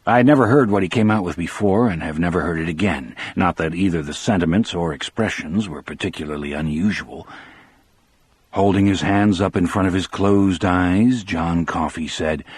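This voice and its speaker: A man reads a first-person story in a low, husky voice. The recording is very dry and close, with a scripted audiobook delivery. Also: no echo. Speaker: audiobook narrator.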